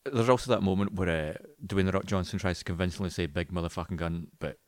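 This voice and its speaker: A man with a slightly low voice co-hosts a casual podcast. He banters in a blunt, sardonic manner and swears freely. The recording is dry and close. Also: fast talker, a little mellow, dry recording. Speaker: podcast co-host. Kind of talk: casual banter about films and games.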